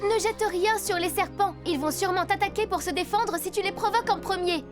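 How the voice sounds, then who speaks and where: A woman's voice: extremely high pitch, a little fast. A woman voicing a caring female rescue vehicle in a French children's cartoon dub, instructive and reassuring. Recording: loud children's music behind.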